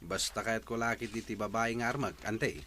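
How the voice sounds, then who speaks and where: A man's voice: lowish voice, fairly even intonation, moderate pace, bright, forceful tone, slight background noise. A man playing a playful, flirtatious village bachelor in a comedy radio drama, with an Ilocano accent.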